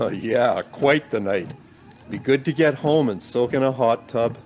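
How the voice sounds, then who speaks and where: A reassuring but sceptical professor in a radio play, acted by a man, has a mid-pitched voice. He speaks formally, with dry understatement, over faint vehicle noise. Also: measured pace, darkish tone, natural voice. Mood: wry, subdued.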